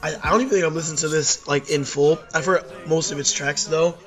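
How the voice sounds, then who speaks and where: A hoarse young man ranking albums in a rambling, casual talk, full of fillers and self-corrections. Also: a little high, steady tone, fairly quick, fairly bright tone, faint music behind. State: hoarse from losing voice at a concert. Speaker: YouTube music reviewer.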